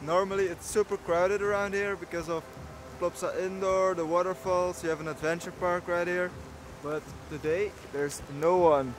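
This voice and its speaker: Higher-voiced young man vlogging. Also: steady tone, normal speed, few pauses, noisy background. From Belgium. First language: Dutch. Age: 20s. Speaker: travel vlogger. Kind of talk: casual vlog narration to camera. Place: inside a camper van and outdoors on trips. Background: background music.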